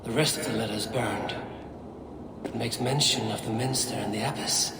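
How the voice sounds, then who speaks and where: A man's voice: on the low side, normal speed, background noise, close to an everyday voice. Curt Viking warrior, acted by a man in echo. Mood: grim, pondering. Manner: curt, blunt, commanding. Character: gruff male Viking warrior investigating murders, blunt and commanding. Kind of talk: video game dramatic acting.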